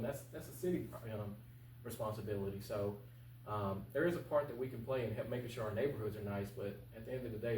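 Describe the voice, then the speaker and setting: A man's voice: medium pitch, a little mellow. A man speaking informally as an interview guest and political candidate, earnest and plain-spoken. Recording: echoey, distant mic with faint noise.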